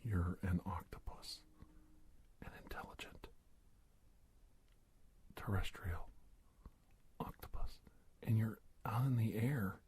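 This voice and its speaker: A man playing a courteous, startled investigator doctor in an ASMR roleplay, speaking in a hushed, breathy, stammering way. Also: on the low side. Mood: astonished, bewildered. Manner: halting, stammering, formal and courteous. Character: startled, polite investigator doctor facing an alien. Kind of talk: ASMR roleplay monologue, soft-spoken and whispery.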